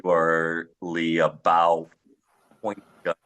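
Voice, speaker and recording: A middle-aged man speaking on a discussion panel as an experienced police trainer, in a measured way with pauses and firm opinions. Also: sits low, monotone, a bit bright. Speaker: panelist, former police officer.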